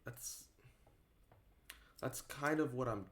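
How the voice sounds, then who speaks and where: A man giving a casual, unscripted opinion talk to his viewers as an online creator, halting and rambling, often repeating himself for emphasis. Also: medium pitch.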